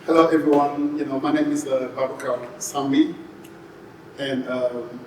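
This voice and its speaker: A man in his forties with a Senegalese accent, giving a casual interview in a halting way, often saying "you know". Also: mid-range voice, fairly even intonation. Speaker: podcast guest, photographer and graphic designer. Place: indoor podcast studio. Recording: echoey room, distant mic, clear background noise.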